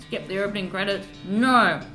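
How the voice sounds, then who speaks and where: A woman's voice: medium pitch, moderate pace, sharp tone, faint music behind. A woman playing a game on stream, reading prompts aloud and chatting playfully. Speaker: co-player. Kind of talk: casual game chat. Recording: roomy echo.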